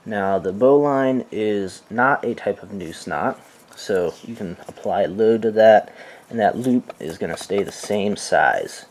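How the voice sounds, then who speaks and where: A man with a deep, low voice gives a relaxed, step-by-step knot-tying lesson. He talks at an unhurried pace, with soft fillers here and there. He is recorded indoors by a camera mic above his hands. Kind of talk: step-by-step demonstration. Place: indoors, filming hands over a carpeted floor.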